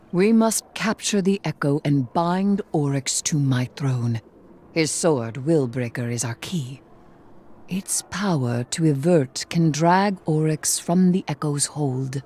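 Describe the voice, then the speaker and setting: An actress playing a regal, imperious dark sorceress queen in a video game, speaking in a measured, solemn and grandiose manner. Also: deep voice, expressive, breathy edge, full character voice. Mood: proud, resolved. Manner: measured, formal, grandiose delivery. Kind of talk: dramatic video game cutscene narration. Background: loud cinematic music.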